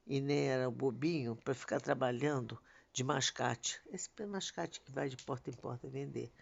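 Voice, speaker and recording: A woman's voice: rumbling low, gravelly, highs cut off. An older woman, a grandmother, telling family memories in a rambling, casual conversation. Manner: rambling storytelling.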